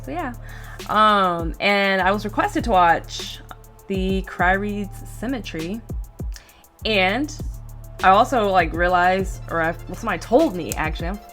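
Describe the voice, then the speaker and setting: A woman's voice: medium pitch, a little slow, fairly bright tone, soft background music. A woman hosting a reaction video, chatting and commenting in an informal way with short exclamations. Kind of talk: casual chat and commentary.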